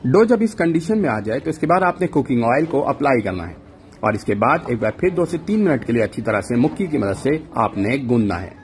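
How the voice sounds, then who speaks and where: A man's voice: medium pitch, big pitch swings, brisk, slight background noise. Man narrating a street-food recipe. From Pakistan. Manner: instructional, step-by-step, mixes English words. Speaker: cooking channel host.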